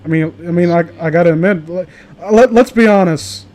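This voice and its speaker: A man hosting a casual group stream, talking in a rambling, excitable way. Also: high voice, a little fast. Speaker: host of a live group stream. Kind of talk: casual chat.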